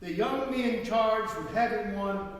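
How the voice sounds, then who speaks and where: Dismissive male witness played by a man, high voice, slow emphatic denials, distant in an echoing hall. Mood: indignant. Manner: scoffing, emphatic. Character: indignant, dismissive 1870s witness denying an accusation. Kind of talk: dramatic reading of testimony. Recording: echoey hall, distant mic.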